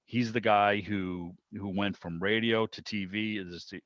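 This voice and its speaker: A man's voice: lowish voice, steady tone, measured pace, fairly bright tone. A man chatting casually and ramblingly as host of an online club meeting, thinking aloud as he goes. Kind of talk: casual enthusiastic chat about old radio. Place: indoors on a video call. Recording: muffled, roomy video-call audio.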